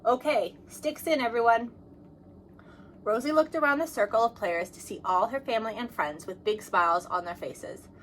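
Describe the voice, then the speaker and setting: Lady reading a kids book out loud, expressive, regular pitch, some noise in the background. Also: fairly quick, few pauses, rough recording. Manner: expressive, acts out dialogue. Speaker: storybook reader. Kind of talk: scripted children's read-aloud narration.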